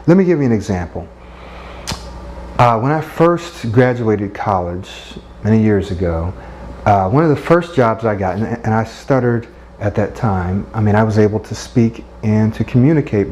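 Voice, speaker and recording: Man, middle-aged, mellow voice, earnest coaching tone, encouraging. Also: lowish voice, normal speed, a little hiss or hum. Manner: earnest and encouraging, frequent rhetorical "right?". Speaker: speech coach. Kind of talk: instructional talk.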